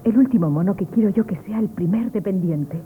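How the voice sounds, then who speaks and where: A woman's voice: medium pitch, fairly quick, close to an everyday voice. An actress from Spain playing an affectionate, doting young lady in a radio stage comedy. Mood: tender. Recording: tinny, noisy 1970s radio recording.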